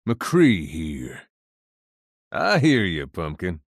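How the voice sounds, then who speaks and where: An actor playing a laid-back cowboy gunslinger in a video game, with a drawl. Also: male voice, deep voice, wildly swooping pitch, extremely slow, dry recording, clean studio sound, exaggerated voice. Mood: wry.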